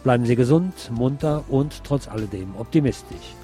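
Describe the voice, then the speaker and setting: A man hosting a radio programme, reading scripted narration in a measured, deliberate way. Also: medium pitch, animated, dark tone, soft background music. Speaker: radio show host.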